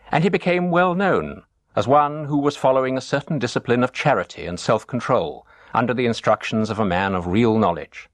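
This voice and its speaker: A man reading a teaching story as a scripted narration, measured and expressive. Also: medium pitch, dry recording.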